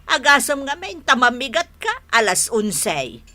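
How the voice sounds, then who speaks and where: A woman's voice: high voice, sing-song, normal speed, sharp tone, heavily acted. A woman playing a fussing, nagging wife and mother with a Filipino accent in a radio drama, scolding and coaxing. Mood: concerned nagging. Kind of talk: Ilocano radio drama acting.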